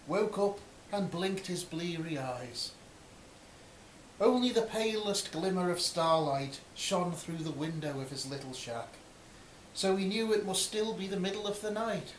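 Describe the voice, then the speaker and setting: Man reading comic fantasy in an echoey room. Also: sits high. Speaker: author or reader of a comic fantasy novel. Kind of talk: scripted narration, comic storytelling reading. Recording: roomy echo, faint hiss.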